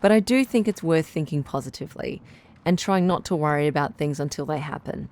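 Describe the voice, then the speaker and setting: A woman playing a caring, composed radio relationship doctor who gives advice in a measured, articulate, reassuring way. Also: deep voice, expressive, a little mellow. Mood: gentle, encouraging. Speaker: radio advice show host. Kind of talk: radio drama advice-show acting.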